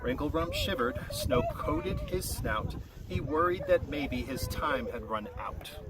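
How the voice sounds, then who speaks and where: A man reads a rhyming children's story in a singsong, expressive voice with a very animated pitch. He sounds set back from the microphone, and loud wind-like noise covers the recording. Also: unhurried. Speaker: storybook reader. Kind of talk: read-aloud of a rhyming children's picture story. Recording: very noisy, windy, distant-mic recording.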